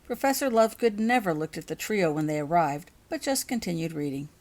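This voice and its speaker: A woman's voice: fairly low pitch, lively intonation, a little fast, darkish tone. A woman reading her own fantasy fiction aloud as a scripted audiobook narration.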